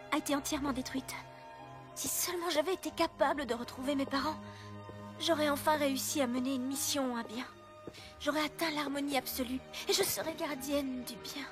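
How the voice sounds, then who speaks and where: An actress playing an earnest, self-doubting teenage fairy princess in a cartoon film. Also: female voice, sits high, steady tone, brisk, music in the background, close to an everyday voice. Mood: dejected. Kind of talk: French cartoon film dub.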